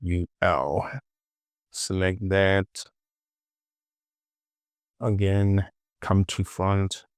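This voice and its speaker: A man giving an informal software tutorial, muttering short clipped instructions to himself and often repeating words. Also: sits low, level tone, very slow, pauses often, clear, resonant tone, no echo, studio quality. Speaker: 3D modeling tutorial presenter.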